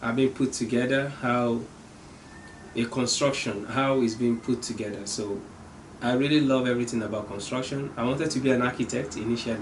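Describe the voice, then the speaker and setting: A man's voice: medium pitch, fairly even intonation, brisk. Earnest Nigerian-born man, noisy background. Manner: earnest, hesitant with many ums. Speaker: company owner. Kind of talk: interview answers.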